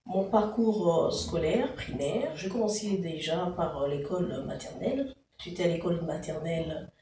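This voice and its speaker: A woman from the Democratic Republic of the Congo with a Congolese French accent, slowly telling her life story as an interview guest, hesitating often with "euh". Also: lowish voice, dark tone, a little hiss or hum. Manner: hesitant, frequent "euh" fillers. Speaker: show creator, interviewee. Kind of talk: slow, measured personal storytelling. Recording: distant, echoey room with faint ambience.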